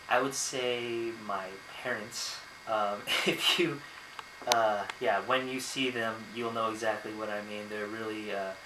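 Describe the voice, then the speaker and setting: A young American man speaks casually to camera from his bedroom, pausing often with ums and uhs. His voice sits fairly low. The recording is roomy and echoey, with steady noise underneath. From United States. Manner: hesitant, many ums and uhs. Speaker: vlogger. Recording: echoey room, noisy background.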